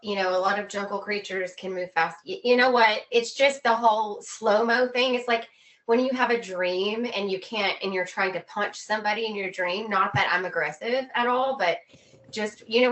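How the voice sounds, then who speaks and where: A woman guest on a video call, chatting informally and telling anecdotes in an animated way. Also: mid-range voice, moderate pace. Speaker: podcast guest. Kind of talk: casual chat, telling travel anecdotes.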